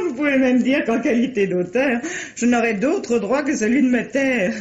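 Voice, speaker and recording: A woman's voice: fairly high pitch, expressive, a little slow. An actress playing a self-assured, politely condescending woman novelist in a 1950s style French radio play. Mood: amused, assured. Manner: polished, courteous, gently condescending. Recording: dull, muffled vintage radio recording.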